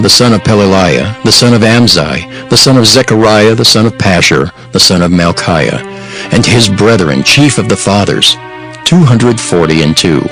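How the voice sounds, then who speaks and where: A man narrates a dramatized scripture audiobook in a solemn, measured, formal way. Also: lowish voice, fairly bright tone, rough recording. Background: background music.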